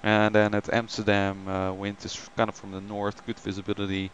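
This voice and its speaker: A man's voice: on the low side, completely flat delivery, normal speed, fairly bright tone, lo-fi sound, a little hiss or hum. A man hosting a flight simulator live stream, chatting casually with many ums and greeting viewers by name.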